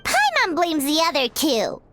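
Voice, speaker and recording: A woman voicing a small, childlike, excitable fairy companion in a video game, quick to exclaim and take offence. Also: sits high, noisy background, heavily acted. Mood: alarmed. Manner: refers to self in third person, exclaiming. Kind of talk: video game character acting.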